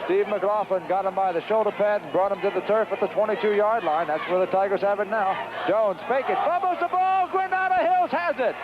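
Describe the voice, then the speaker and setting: High-pitched American man calling football on radio. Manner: vivid running description in short clipped phrases. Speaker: play-by-play announcer. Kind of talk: live high school football radio commentary. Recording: dull, noisy 1970s radio broadcast audio.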